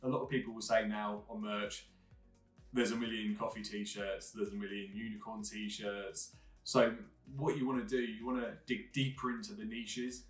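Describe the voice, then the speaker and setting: Host with a lowish voice in an echoey room, mic at a distance, faint music underneath, stacking repeated phrases. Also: male voice. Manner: repetitive, listing phrases. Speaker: online business host. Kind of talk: video intro to camera.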